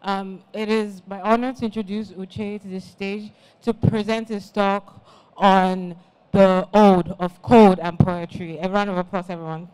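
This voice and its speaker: Woman emcee, hesitant reader of a speaker bio, clean smooth tone. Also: medium pitch, fairly even intonation, unhurried, darkish tone, poor audio. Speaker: conference emcee. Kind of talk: speaker introduction.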